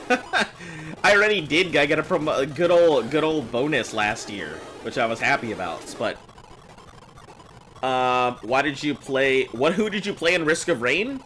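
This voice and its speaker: A man's voice: on the high side, lively intonation, measured pace, pushed and bright, slight background noise, rough recording. A man in his thirties who sounds much younger, chatting casually on a game stream and rambling with fillers. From United States. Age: 30s.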